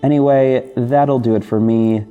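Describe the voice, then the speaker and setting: A man's voice: medium pitch, fairly even intonation, a little slow, phone-quality sound. A man chatting casually to his listeners as a podcast host, with an effusive, gushing, informal manner. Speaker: podcast host and audio drama creator. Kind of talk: casual solo chat to listeners over background music. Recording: music bed behind the voice. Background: music.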